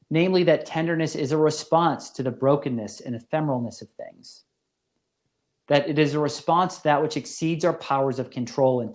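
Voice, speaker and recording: A man giving a scripted academic lecture as a professor, with deliberate, formal phrasing and careful emphasis. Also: fairly high pitch, darkish tone. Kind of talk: scripted philosophy lecture narration.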